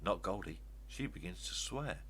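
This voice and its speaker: An older man reads rhyming verse aloud as children's storytelling, in an expressive, emphatic way. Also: low voice, moderate pace. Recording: steady background noise with hum.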